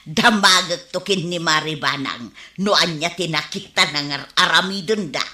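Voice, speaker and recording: An actress playing a teasing village gossip who relishes scandal in an Ilocano comedy radio drama, insinuating, with an Ilocano accent. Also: female voice, lowish voice, normal speed, sharp tone, echoey room. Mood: teasing amusement.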